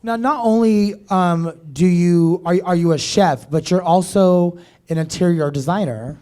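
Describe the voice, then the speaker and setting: A man's voice: high voice, unhurried, darkish tone. A man hosting a casual talk show, playful and campy, teasing his guest. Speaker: podcast host. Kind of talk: casual chat.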